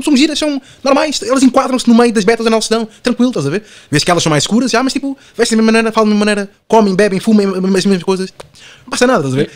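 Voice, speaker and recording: A man in his twenties speaks with a European Portuguese accent at a rapid clip. His casual podcast talk is animated and full of slang, fillers and tag questions. The sound is dry and close, without room echo. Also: high-pitched. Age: 20s. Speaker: podcast guest.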